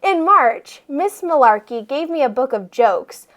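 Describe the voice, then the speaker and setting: A woman narrates a children's storybook aloud. Her voice is high and lively, swinging widely in pitch. She takes her time, stressing words expressively for young listeners. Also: measured pace. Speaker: storybook reader.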